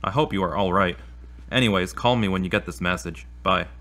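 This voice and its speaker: Casting: man to play anxious, grumbling guy, deepish but sharp-toned, plainspoken, audio drama. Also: a little fast. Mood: annoyed, concerned. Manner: plainspoken, fretful. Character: anxious, grumbling man waiting for a late friend.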